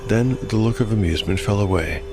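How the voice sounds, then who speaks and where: A man with a very low voice reads dark fantasy narration as a game master. He is solemn and measured, enunciating each phrase. Music plays clearly behind the words. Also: rough recording.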